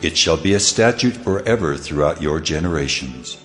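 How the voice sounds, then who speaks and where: An actor playing a majestic divine lawgiver in a dramatized scripture reading, grave and commanding. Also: male voice, rumbling low, lively intonation, moderate pace, a bit bright. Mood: solemn, commanding. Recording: long cavernous reverb, music behind.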